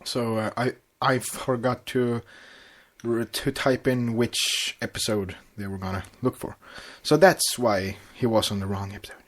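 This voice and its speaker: Halting male podcast host, medium pitch. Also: fairly bright tone. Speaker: podcast co-host and fan fiction reader. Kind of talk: casual chat and story narration.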